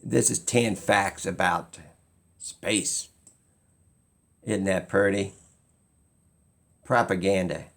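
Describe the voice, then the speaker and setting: Slow-talking man with a gravelly, creaky voice. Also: sits low, a bit bright. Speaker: video creator. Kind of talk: unscripted opinion monologue.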